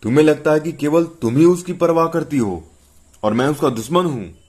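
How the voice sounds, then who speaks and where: A man's voice: medium pitch, expressive, normal speed, pitched above the performer's own voice, lightly acted. A man voicing an angry, domineering wealthy businessman father who scolds and blusters in indignation, in a narrated story. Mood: indignant.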